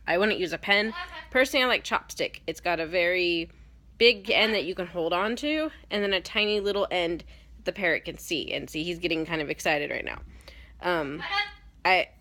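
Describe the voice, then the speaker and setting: A woman's voice: fairly low pitch, bright, forceful tone. A woman with a cold and a stuffed-up voice, casually explaining parrot training in a rambling way with many ums and self-corrections. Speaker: parrot owner giving a training demo. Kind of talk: casual how-to demonstration.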